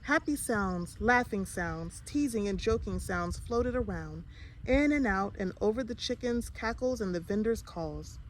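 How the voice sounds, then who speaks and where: A woman reading a children's storybook aloud in an expressive way. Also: medium pitch, unhurried, a little hiss or hum, rough recording. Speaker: storybook reader.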